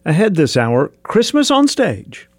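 A man's voice: on the high side, wildly swooping pitch, measured pace, dry recording, studio quality. A man hosting a radio show, presenting from a script.

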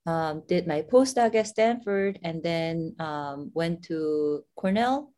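A woman from Korea introduces herself as a physics professor, her voice sitting a little low. She pauses often, filling the gaps with ums as she goes. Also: expressive, dark tone. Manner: hesitant, frequent ums. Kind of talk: video call introduction.

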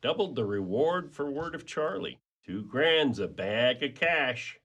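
A man acts out a brawny pet detective for a radio play, with a lively voice that rises and dips a lot. He is folksy and windy, rambling along in an easygoing way. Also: medium pitch, a little slow. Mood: easygoing, chatty. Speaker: actor in a community radio play.

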